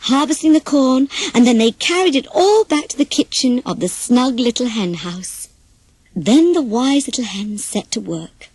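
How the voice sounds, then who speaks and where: A woman reading a children's story as an animated, scripted narration. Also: sits high, slightly rough, no echo.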